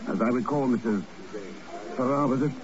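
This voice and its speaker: An actor playing a cool, shrewd secret agent with dry, understated sarcasm, in a 1950s radio drama style. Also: male voice, medium pitch, normal speed, close to an everyday voice. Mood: dry, probing. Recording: muffled vintage 1950s radio recording with music.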